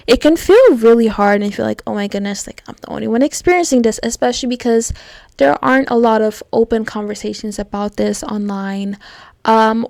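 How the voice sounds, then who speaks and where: A woman's voice: a little high, gravelly, a little mellow, no room sound. A young woman hosting a solo podcast, talking in a casual, reflective way with lots of fillers. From United States. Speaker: podcast host.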